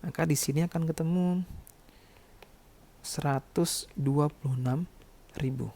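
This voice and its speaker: A man's voice: on the high side, unhurried, warm tone. A man teaching like a lecturer, explaining step by step and often adding short check-in words as he goes. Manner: instructional, repeatedly checking with short tag words. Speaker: accounting lecturer.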